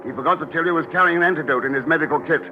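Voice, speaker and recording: An actor playing a calm, confident male secret agent, speaking in a measured way, 1940s radio-drama style. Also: fairly high pitch, close to an everyday voice. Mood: triumphant. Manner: measured, unruffled. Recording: tinny old radio recording with faint hiss.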